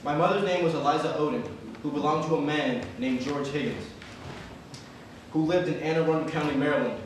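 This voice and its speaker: A man performing a dramatic reading as a solemn freedman seeking lost relatives, formal and measured. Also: fairly high pitch, slight background noise, natural voice. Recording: echoey hall from far off.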